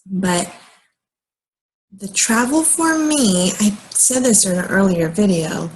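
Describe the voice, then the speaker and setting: An American woman in her late thirties talking casually and haltingly in a personal vlog, often pausing mid-sentence and rambling along. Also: mid-range voice, lively intonation, a little hiss or hum, rough recording. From United States, moved often as a military child. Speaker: vlogger.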